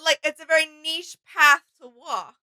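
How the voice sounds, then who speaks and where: A woman's voice: high-pitched, moderate pace, sharp tone, no room sound. A woman co-hosting a reaction video, chiming in with casual chat.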